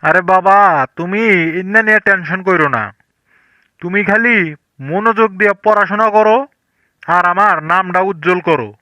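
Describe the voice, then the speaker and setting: A man as a high-voiced, trusting village father. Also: normal speed, pauses often, bright, forceful tone, no room sound. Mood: gentle, fatherly. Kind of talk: comedy cartoon voice acting.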